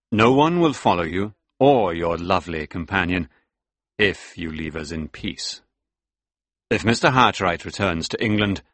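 An actor playing a courtly count who reads his letter with smooth, deliberate menace in an audiobook drama. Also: sits low, a bit bright, no echo, full character voice.

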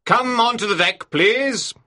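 A woman voicing a stern, angry male sea captain in an audiobook, husky and imperious as he barks orders. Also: very deep voice, big pitch swings, takes it slowly, pushed and bright, voice pushed down, heavily acted. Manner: barking, imperious. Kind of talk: audiobook character dialogue.

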